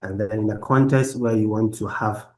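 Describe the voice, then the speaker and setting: A man lecturing online from his laptop, explaining research methods in a halting way with many restarts. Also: fairly low pitch, steady tone. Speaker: university lecturer. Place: at a laptop on a video call. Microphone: laptop microphone.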